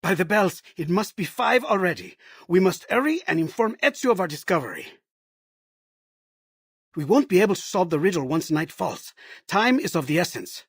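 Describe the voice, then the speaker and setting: A man voicing an eager inventor in a game, reasoning in an articulate, deliberate way. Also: high voice, sing-song, husky, no echo, studio quality, heavily acted. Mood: urgent. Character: Leonardo, eager male inventor and riddle solver. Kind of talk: game voice acting.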